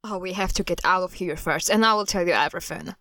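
An actress playing a defiant, knowing daughter of a villain in a horror audio drama, with a pointed, assured delivery. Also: female voice, fairly low pitch, fast, bright, forceful tone, no echo. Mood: urgent. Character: defiant, knowing woman, cult leader's estranged daughter.

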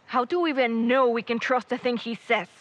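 A woman's voice: on the high side, a little fast, no room sound, close to an everyday voice. A young woman acting a skeptical, guarded character in an audio drama. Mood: wary. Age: young adult.

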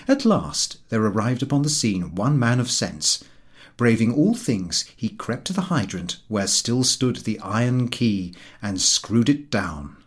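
Expressive male audiobook narrator, slightly husky. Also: medium pitch. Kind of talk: scripted narration of a comic novel.

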